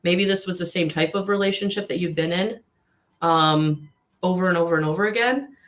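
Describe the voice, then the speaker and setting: A woman giving a live tarot reading to viewers, with a husky voice, slightly hoarse after a recent illness, speaking in a soothing, repetitive way with frequent ums. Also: on the low side, a bit bright. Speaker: tarot reader.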